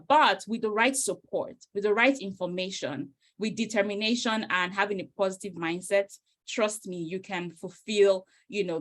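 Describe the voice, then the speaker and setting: Casting note: woman from Nigeria, middle-aged, webinar host, mid pitch, eager, chatty. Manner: enthusiastic, warm, uses many "you know" fillers. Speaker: host, career coach. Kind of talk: webinar introduction. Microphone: video call.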